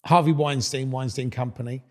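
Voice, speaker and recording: A man's voice: mid-range voice, steady tone, moderate pace, a little mellow. A middle-aged man hosting a podcast interview, talking in a loose, rambling way with lots of swearing and false starts. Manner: rambling, swears freely, many restarts. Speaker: podcast host. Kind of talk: casual interview chat.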